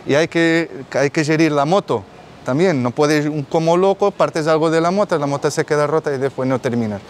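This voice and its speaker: A man's voice: on the high side, brisk. A man in his forties from Portugal with a Portuguese accent, answering interview questions outdoors in an earnest way, restarting and repeating words as he searches for phrases. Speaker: rally rider guest. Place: outdoor courtyard with artificial grass.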